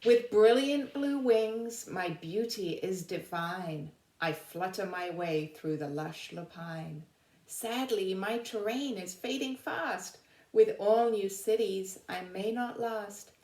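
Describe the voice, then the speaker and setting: A woman's voice: mid-range voice, slow, a little mellow. Woman reading as wistful butterfly, roomy echo. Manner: lilting rhyming recitation. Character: delicate, vain, wistful storybook butterfly. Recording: roomy, echoing indoor sound.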